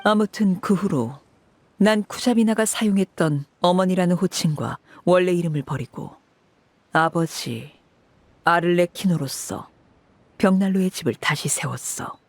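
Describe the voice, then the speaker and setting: Brief: stern, cold matriarch called Father, low husky voice, calm and commanding. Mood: wistful. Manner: cool, measured, commanding. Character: stern woman who leads an orphan house as its cold, calm "Father". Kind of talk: game voice acting.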